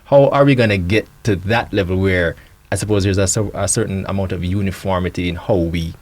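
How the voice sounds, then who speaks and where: A man's voice: sits low, brisk. Male host posing long questions. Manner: conversational, frequent back-channel agreement, long hedged questions. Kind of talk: studio panel discussion.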